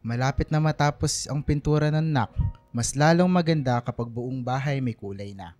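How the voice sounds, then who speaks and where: A man's voice: medium pitch, normal speed, a little mellow, soft background music, close to an everyday voice. A man playing a cheerful, doting working-class father in a radio drama, affectionate, joking and a little wistful. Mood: upbeat.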